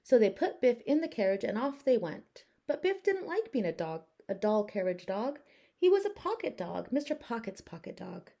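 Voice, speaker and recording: A woman teacher narrating a children's storybook aloud with expressive, deliberate phrasing. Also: fairly high pitch, gravelly.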